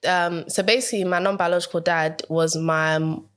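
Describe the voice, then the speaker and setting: A woman's voice: on the low side, steady tone, moderate pace, smooth. Giggly woman telling her story. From Ghana. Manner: giggly, hesitant, rambling storyteller. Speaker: podcast guest, mental health coach. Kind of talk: casual interview chat.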